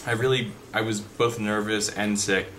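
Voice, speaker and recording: A young man with a deep voice chatting unscripted in a vlog, rambling with fillers and restarts. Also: flat delivery, moderate pace, a bit bright. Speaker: vlogger. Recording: background noise.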